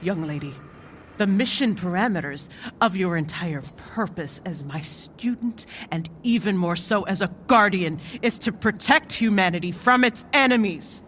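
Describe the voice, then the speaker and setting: A woman acting a stern, commanding mentor in an audio drama, speaking in a measured, reproachful way. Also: mid-range voice, expressive, fairly bright tone, slight background noise, natural voice. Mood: angry, scolding.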